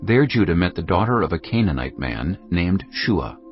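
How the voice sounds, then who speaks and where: A man's voice: sits low, very animated, normal speed. A man reading scripted scripture narration for a dramatized audio Bible. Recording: dull lo-fi audio under music. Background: prominent background music.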